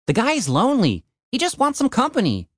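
A man's voice: very high voice, wildly swooping pitch, brisk, dry recording, studio quality, voice pushed up, exaggerated voice. A man voicing a determined, insistent young man in an audiobook story. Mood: matter-of-fact.

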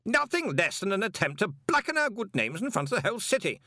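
A man voicing a self-righteous banker protesting loudly. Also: sits high, sing-song, normal speed, no echo, clean studio sound, pitched above the performer's own voice, exaggerated voice. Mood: indignant. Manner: protesting, indignant.

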